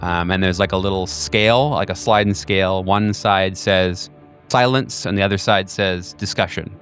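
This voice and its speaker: A man's voice: low-pitched, steady tone, normal speed, a bit bright, soft background music. A man narrating as game master of a tabletop horror game, rambling and full of ums and uhs. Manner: rambling, filler-heavy, with many ums and uhs.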